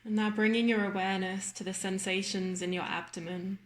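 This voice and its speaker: A woman guiding a body scan meditation in a slow, measured manner with long pauses. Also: mid-range voice, flat delivery. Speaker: meditation guide.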